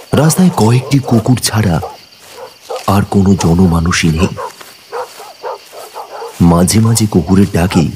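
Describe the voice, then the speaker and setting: A man's voice: lowish voice, darkish tone, slight background noise. A man narrating a ghost story in a suspenseful, dramatic storytelling manner. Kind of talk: scripted horror narration.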